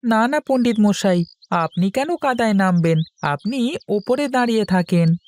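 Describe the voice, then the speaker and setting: Woman voicing an earnest, slow-talking village boy. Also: mid-range voice, animated, a little mellow, natural voice. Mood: earnest, polite. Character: young village boy, eager, respectful, mud-covered fisher. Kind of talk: cartoon voice acting.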